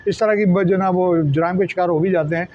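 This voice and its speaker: A man with a Pakistani accent explains in an interview as a journalist, wordy and halting, often repeating and restarting his words. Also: sits high, fairly even intonation. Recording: dull lo-fi sound, faint background noise.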